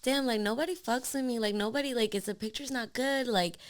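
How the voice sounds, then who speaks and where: A young woman, a lash trainer hosting a casual podcast, talking in an animated, slangy, encouraging way. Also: fairly high pitch, fairly quick.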